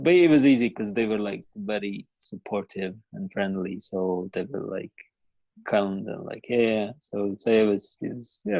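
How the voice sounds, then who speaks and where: A man's voice: fairly low pitch, vocal fry, darkish tone, no room sound, dull audio. A man from Latvia, a comedian guest chatting hesitantly on a podcast, with many restarts and fillers. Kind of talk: casual podcast chat.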